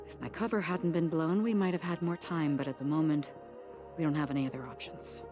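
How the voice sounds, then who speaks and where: Brief: a woman as a poised, polite fugitive, low-pitched, score audible underneath. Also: fairly even intonation, lightly acted. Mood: calm. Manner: polite, composed, measured. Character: calm, courteous woman on the run. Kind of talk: video game cutscene dialogue. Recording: game music behind the voice.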